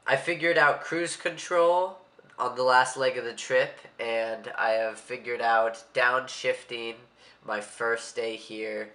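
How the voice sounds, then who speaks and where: Young man, congested, rambling and a bit anxious, bright tone in an echoey room. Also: mid-range voice, fairly even intonation, slow pace. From Maryland, USA. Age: young adult. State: stuffy, congested. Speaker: vlogger. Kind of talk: casual vlog monologue.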